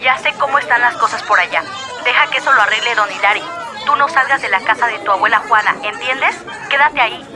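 A woman's voice: fairly high pitch, quick pace, crowd noise, close to an everyday voice. A woman acting a protective middle-aged mother in a radio drama, firm and pleading. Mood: firm, worried. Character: protective, worried mother giving firm orders.

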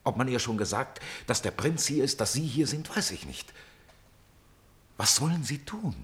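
An actor playing an alert, conspiratorial servant in a classical radio drama, reporting in an urgent, hurried way. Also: male voice, mid-range voice, expressive, lightly acted. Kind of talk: classical German stage-play radio acting.

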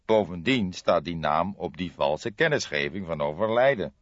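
Man playing a deep, deliberate old detective. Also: lively intonation, no echo, muffled recording. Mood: probing. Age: older. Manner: deliberate, formal, ponderous. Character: brooding old male police detective, deliberate, weighty, slightly formal. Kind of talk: audiobook character dialogue.

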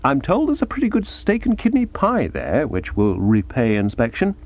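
A man voicing a breezy, genial young gentleman talking with his aunt. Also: mid-range voice, wildly swooping pitch, normal speed, highs cut off. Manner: breezy, jaunty, upper-class. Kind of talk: audiobook character voice.

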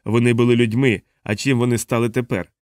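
A man's voice: medium pitch, flat delivery, brisk, a little mellow, clear, resonant tone, no room sound, close to an everyday voice. Audiobook part: cornered castaway man, read by a male narrator, mid-range voice, bitter, accusing, defiant. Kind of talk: audiobook character dialogue.

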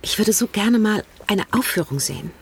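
An actress in a German radio play voicing a young wife with an admiring, courteous manner. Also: female voice, mid-range voice, lively intonation, normal speed, a little hiss or hum, natural voice. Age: young adult.

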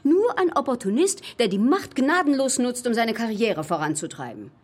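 Husky, scolding, threatening wife. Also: female voice, on the high side, sing-song, normal speed. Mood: angry, threatening. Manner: scolding, biting. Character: angry, threatening wife of a film maker. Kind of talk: German radio play acting.

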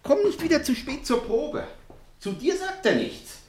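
A fat, downtrodden husband in a radio drama, jealous and meek. His delivery is plaintive and wistful. The intonation is very animated, sliding up and down as he pleads his case. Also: extremely high pitch, a little slow, slight background noise, heavily acted.

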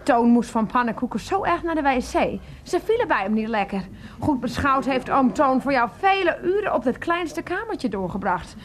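A woman's voice: high voice, very animated, normal speed, a little hiss or hum. An actress in a radio play, playing a middle-aged aunt who dotes on her nephew and fusses and scolds with many exclamations. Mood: doting, chatty. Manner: exclamatory, fussing, chiding.

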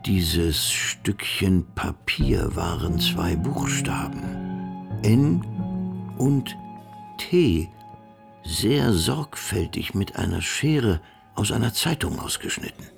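A man's voice: sits low, lively intonation, slow, creaky. A man narrating a radio drama from a script. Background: music.

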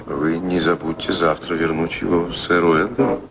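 A deep, gravelly, worldly gentleman. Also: steady tone, normal speed, soft background music. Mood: reassuring, dismissive. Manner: ironic, persuasive, theatrical. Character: worldly, cynical gentleman confidant, urbane and ironic. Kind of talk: Russian radio drama acting.

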